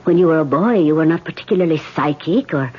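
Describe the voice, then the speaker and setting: An actress playing a calm spirit medium leading a seance, often speaking in a hush, in an old radio drama. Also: female voice, low voice, quick pace, breathy edge. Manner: calm, hushed, often whispering. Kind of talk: 1970s radio drama acting. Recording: dull old radio broadcast.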